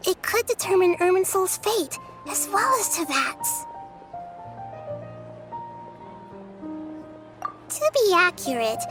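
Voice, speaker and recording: A high, girlish game character voice: a young goddess who is calm and wise. She explains things in a measured, earnest manner, with music playing behind her. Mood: earnest, resolute. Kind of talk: scripted video game voice acting.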